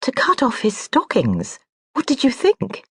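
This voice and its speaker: An audiobook narrator voicing a proud, imperious noblewoman who speaks crisply and gives sharp commands. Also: medium pitch, huge pitch swings, unhurried, no room sound, studio quality, pitched above the performer's own voice, heavily acted.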